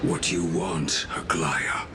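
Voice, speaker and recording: A man's voice: extremely low pitch, slow, background noise. An actor playing an ancient, solemn craftsman in a video game, speaking cryptically in inverted word order. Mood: grave, questioning. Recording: loud music behind the voice.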